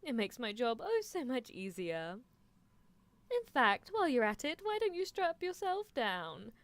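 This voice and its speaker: Casting: woman to play female mad scientist for ASMR roleplay, eccentric, gleefully menacing yet doting; unhurried, highly melodic, theatrical teasing. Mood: playful, sly. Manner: theatrical, musing, drawn-out teasing delivery. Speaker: streamer performing a character roleplay. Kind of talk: live ASMR character roleplay, improvised replies to listeners.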